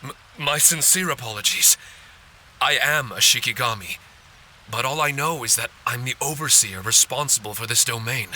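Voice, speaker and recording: A man's voice: medium pitch, normal speed, pushed and bright, slight background noise, poor audio. A man playing an earnest, apologetic amnesiac spirit guardian in a video game, speaking formally and politely. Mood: ashamed.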